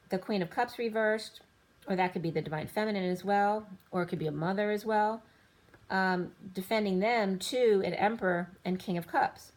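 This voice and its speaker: A woman giving a rambling tarot reading, listing cards in loose fragments, her voice going a little hoarse. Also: medium pitch. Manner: rambling, lists card after card in loose fragments. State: slightly losing her voice. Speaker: tarot reader.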